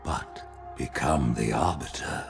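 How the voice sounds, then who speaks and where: An actor playing an ancient, imperious alien religious leader in a game cinematic, speaking in a measured, grandiloquent way. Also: male voice, very deep voice, faint music behind. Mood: solemn persuasion. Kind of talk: dramatic game cinematic acting.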